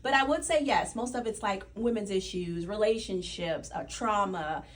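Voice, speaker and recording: A woman's voice: medium pitch, moderate pace. Enthusiastic woman chatting, slightly distant mic. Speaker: guest, playwright and stage producer. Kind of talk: casual radio interview chat. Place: radio studio.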